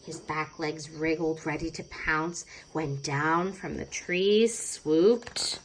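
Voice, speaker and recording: Low-voiced, unhurried woman reading a storybook. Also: steady tone, slight background noise, low-quality recording. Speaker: storybook narrator. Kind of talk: expressive children's read-aloud.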